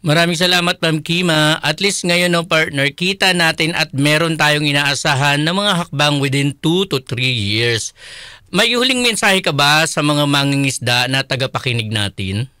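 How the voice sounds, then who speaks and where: A man's voice: on the high side, moderate pace, sharp tone, smooth, no room sound, studio quality. A man with a Filipino accent co-hosting a radio program conversationally, mixing Filipino with English. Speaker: radio co-host.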